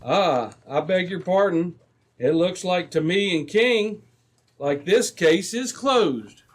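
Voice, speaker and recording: Man playing a commanding, higher-voiced Mountie sergeant. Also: animated, takes it slowly, exaggerated voice. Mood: genial. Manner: authoritative, clipped orders. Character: firm, commanding male Mountie sergeant, steady lawman. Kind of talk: old-time radio adventure acting.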